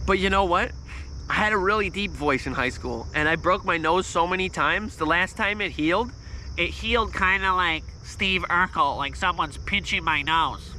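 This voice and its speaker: A man in his thirties with a squeaky, nasal voice, telling a story in a loose, swearing livestream ramble. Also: normal speed, sharp tone, a little hiss or hum, low-quality recording. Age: 30s. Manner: swears freely, restarts often, volume spikes. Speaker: vlogger on a livestream.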